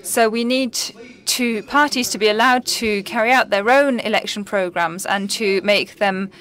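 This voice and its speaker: A woman's voice: mid-range voice, moderate pace, fairly bright tone. A woman making an emphatic formal statement as a participant at a conference session. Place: large conference hall.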